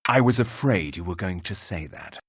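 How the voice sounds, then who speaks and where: A terse, impatient warrior from a fantasy game. He is husky and middling in pitch, with emphatic swings in intonation and a dry, close sound. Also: lively intonation, normal speed, no echo. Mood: impatient, annoyed. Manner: blunt, terse, rude. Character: blunt, impatient resurrected warrior, gruff male hero. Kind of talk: fantasy video game dialogue acting.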